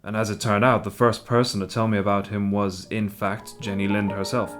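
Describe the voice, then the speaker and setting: An actor playing a polite American newspaper correspondent of 1849 in a radio play, speaking in a measured, courteous way. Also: male voice, fairly low pitch, flat delivery, music in the background, natural voice. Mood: matter-of-fact.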